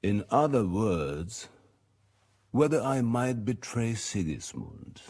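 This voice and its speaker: A man playing a shrewd, weary middle-aged lord in a video game, speaking in a formal, measured and commanding manner. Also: fairly low pitch, sing-song, darkish tone, exaggerated voice. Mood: wry, guarded. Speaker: medieval nobleman. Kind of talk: video game cutscene acting.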